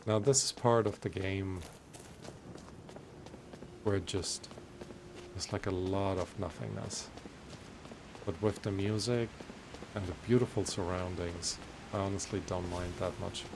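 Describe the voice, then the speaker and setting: A man giving casual let's play commentary, musing aloud in unhurried asides as he hosts a gaming video. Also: low-pitched, fairly even intonation, noisy background.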